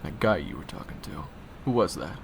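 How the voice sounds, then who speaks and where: A young man in an audio roleplay, talking in low, sardonic murmured asides. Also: sing-song, brisk, creaky, noisy background. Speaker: roleplay voice actor playing assassin roommate.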